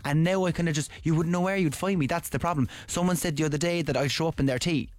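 Fast-talking young man with a Cork accent. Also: on the high side, no echo. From Ireland. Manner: chatty, rambling, laughs easily. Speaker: radio presenter and newsreader. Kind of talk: casual chat. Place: radio studio. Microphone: studio mic.

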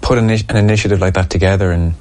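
Deep-voiced male interviewer. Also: steady tone, quick pace, a little mellow. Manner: brief backchannels, hesitant questions with fillers. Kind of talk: interview questions.

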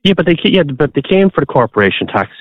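Earnest Irish caller on a muffled line. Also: male voice, a little high, big pitch swings, fast talker, no room sound. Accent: Irish, Waterford. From Ireland. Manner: earnest, pushes on through interruptions with many restarts. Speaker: radio caller. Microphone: phone line.

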